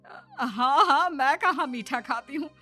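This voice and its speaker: A woman's voice: sits high, a little slow, over music. A woman voicing a gossipy, complaining middle-aged Indian mother-in-law in a Hindi cartoon, with a dramatic, lamenting manner. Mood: worried.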